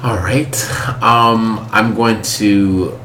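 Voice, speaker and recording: A man playing a tabletop role-playing game, hesitant and full of pauses as he decides his moves. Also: lowish voice, fairly even intonation. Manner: hesitant, many pauses and ums. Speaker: player. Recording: echoey room with audible hiss.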